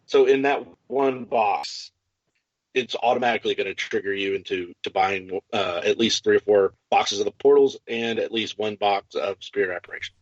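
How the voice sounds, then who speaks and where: Low-voiced man on a dull-sounding podcast. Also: moderate pace, no room sound. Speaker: podcast host. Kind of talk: casual hobby podcast chat about a miniatures game.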